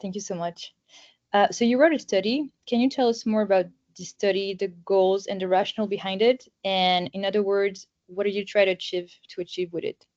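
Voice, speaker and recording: A woman interviewer asking polite, brisk questions. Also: mid-range voice, steady tone, darkish tone, lo-fi sound.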